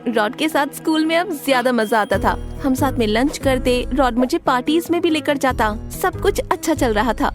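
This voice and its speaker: Animated story lead: high-voiced, guarded teen schoolgirl played by a woman, dramatic first-person narration, light music underneath. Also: normal speed, few pauses, lightly acted. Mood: narrating, confiding. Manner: expressive, dramatic. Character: guarded, feisty teenage schoolgirl narrating her own story.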